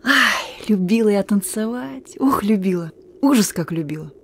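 A woman's voice: medium pitch, lively intonation, moderate pace, a little hiss or hum, close to an everyday voice. A woman acting a guarded, wistful young partisan messenger. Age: young adult. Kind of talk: Russian radio drama acting.

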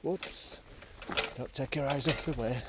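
A man's voice: mid-range voice, unhurried. Chatty man on a bike ride. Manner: chatty, rambling, self-talking asides. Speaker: cycling vlogger. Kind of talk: casual narration while riding. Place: outdoors on forest trails. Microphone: small pocket camera mounted on the bike frame. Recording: noisy outdoor camera audio.

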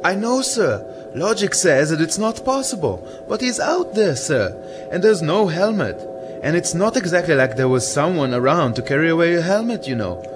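A man's voice: a little high, sing-song, normal speed, noisy background. An actor playing a sombre, dutiful astronaut crewman in a radio drama, deferential and earnest. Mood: earnest, baffled.

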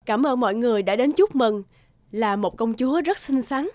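Woman playing a high-voiced devoted cartoon mother. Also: lively intonation, normal speed, a little mellow, no room sound, close to an everyday voice. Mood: tender. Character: village chief's wife, devoted, defiant young mother. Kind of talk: animated folktale voice acting.

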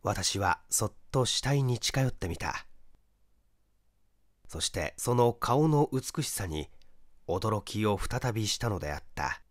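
A man reading a mystery story aloud as dramatic narration, measured and expressive with deliberate pauses. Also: lowish voice, dry recording, studio quality. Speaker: story reader, stage actor.

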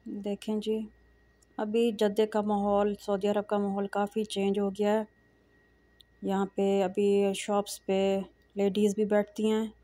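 A middle-aged woman speaks in a calm, low-key voice, keeping her pitch level and even as she narrates a shopping vlog. Her tone is mellow and dark rather than bright, and she pauses often with small hesitations. The sound is close and dry, recorded on a handheld phone. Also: no room sound. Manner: calm, soft-spoken, frequent hesitations and pious phrases. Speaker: vlogger. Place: large indoor shopping mall. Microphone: phone camera held while walking.

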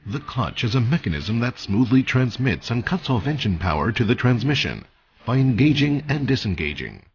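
A man reading scripted instructional narration in a measured, formal way as the narrator of a technical training video. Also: mid-range voice, very animated, darkish tone, husky, highs cut off.

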